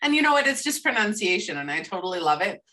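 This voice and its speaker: Bright-voiced Canadian woman, off-mic. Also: medium pitch, very animated, fast, recorded from a distance. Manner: enthusiastic, rambling, frequent restarts. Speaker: podcast co-host. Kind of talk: casual podcast chat.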